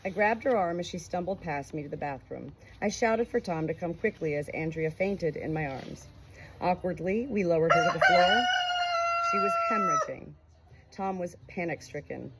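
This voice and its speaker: A woman narrating a memoir aloud in an expressive, emotive way. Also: lowish voice, moderate pace, very noisy. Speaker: audiobook reader.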